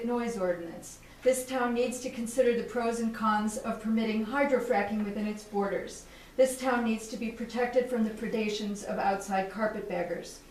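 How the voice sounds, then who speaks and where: A woman reading a formal prepared statement at a town board meeting, firm and deliberate as she lists her demands point by point. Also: on the high side. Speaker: resident reading a statement at public comment. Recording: echoey room from a distant mic, faint hiss.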